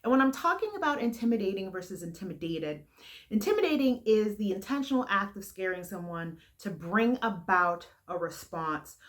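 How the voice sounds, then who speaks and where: A young adult woman giving frank, earnest advice to camera in a heavy, loud voice that carries. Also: mid-range voice, lively intonation, moderate pace. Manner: frank and earnest, with fillers and restarts. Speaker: vlogger giving career advice.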